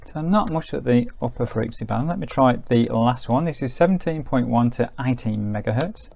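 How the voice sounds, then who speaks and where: Man, slightly creaky, casual reviewer. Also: mid-range voice, lively intonation, normal speed, mellow, slight background noise. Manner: informal, thinking aloud with hedging asides. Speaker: product reviewer. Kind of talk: casual hands-on gadget review.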